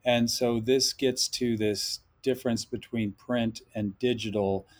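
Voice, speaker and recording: A man talking as a podcast guest and instructor, thoughtful and measured, often hedging his points. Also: mid-range voice, steady tone. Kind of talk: podcast interview talk.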